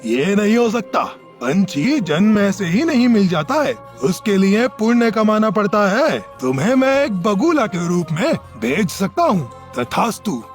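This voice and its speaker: A man acts an earnest male character from a children's cartoon fable. His voice is unusually high for a man and moves widely up and down, with a dramatic delivery over faint music. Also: big pitch swings, a little slow. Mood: stern. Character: male pigeon father, also solemn god of death. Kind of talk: children's animated moral story acting.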